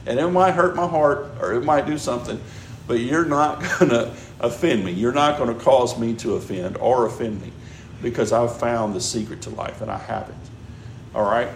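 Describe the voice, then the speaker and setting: A man preaching a sermon in a conversational way, often checking in with "all right?" and "you know". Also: mid-range voice. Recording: echoey room with background noise.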